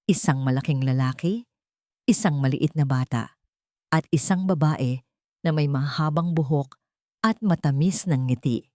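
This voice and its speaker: A woman's voice: low voice, dark tone, dry recording, clean studio sound. A woman giving an earnest, scripted dramatic narration as a sentimental storyteller. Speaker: storyteller and channel host.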